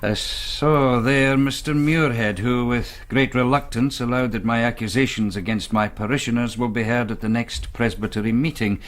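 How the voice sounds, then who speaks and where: An actor playing a troubled, bookish young Presbyterian minister in a period radio drama, speaking in formal, scholarly, archaic phrases. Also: male voice, mid-range voice, steady tone, moderate pace, few pauses, natural voice. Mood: weary, reflective.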